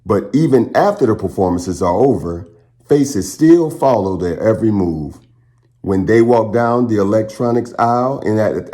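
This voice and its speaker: Low-voiced man reading a picture book expressively. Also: dark tone. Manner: dramatic, pausing phrasing, speaks to young listeners. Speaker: children's storytime reader and channel host.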